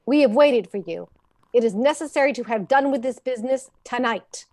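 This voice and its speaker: A woman's voice: a little high, fairly quick, darkish tone, close to an everyday voice. An actress playing a stern, composed woman coroner who questions formally in an old-time radio drama. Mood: stern, skeptical.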